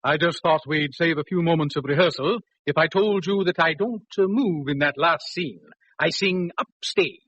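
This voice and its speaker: A man's voice: a little high, moderate pace, a bit bright, breathy edge. An actor playing a polite, apologetic male opera singer in 1940s radio drama style. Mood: courteous. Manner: apologetic, courteous. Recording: dull vintage radio recording.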